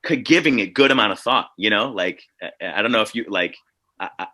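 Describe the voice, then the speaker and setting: A man on a video call speaking casually as a panelist, thinking aloud in a halting way with many repeats. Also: on the low side, animated, pushed and bright. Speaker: panelist, theatre artist. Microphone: video call microphone.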